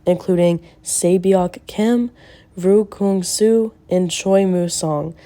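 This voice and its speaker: A young woman presents a scripted film review for a class. Her voice sits low and sounds soft and mellow. She slows down with care whenever she reaches a name. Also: fairly even intonation. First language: English. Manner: careful, slowed delivery on names. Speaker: student presenter.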